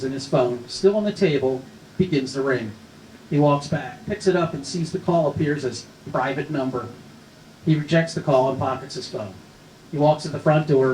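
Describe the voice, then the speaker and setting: A man's voice: a little high, darkish tone. A man reading scripted stage directions as narrator at a screenplay table read. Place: live film festival table read. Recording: echoey room, faint noise.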